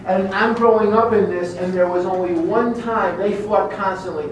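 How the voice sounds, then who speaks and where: A man with a Chicago American accent giving a personal testimony speech, emphatic and deliberate, repeating phrases for effect. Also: high voice, fairly even intonation. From Chicago, USA. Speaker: guest speaker at recovery meeting. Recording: very echoey room, distant mic, clear background noise.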